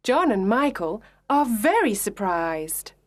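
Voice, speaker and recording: A woman reading a children's story aloud in clear, measured narration for English learners. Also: medium pitch, very animated, no room sound.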